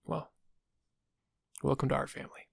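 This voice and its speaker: An actor playing a friendly but subtly sinister dental receptionist in an audio roleplay, casual and polite, with a husky, gravelly voice. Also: male voice, normal speed, no room sound, studio quality, lightly acted. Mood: knowing, insinuating. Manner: casual, polite, quietly insinuating. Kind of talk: intimate audio roleplay acting.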